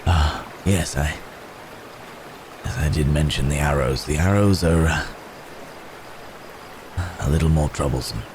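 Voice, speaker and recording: A man playing a wounded, courteous werewolf in an intimate ASMR roleplay, halting and strained with pain, with old-fashioned polite phrasing. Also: rumbling low, background noise. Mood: weary, grave. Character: wounded, weary, courteous male werewolf hunted by men.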